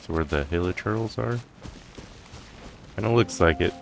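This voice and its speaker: A man's voice: sits low, a little slow, creaky, slight background noise. A man giving casual let's-play commentary as a streamer, offhand and rambling to himself. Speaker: gameplay streamer.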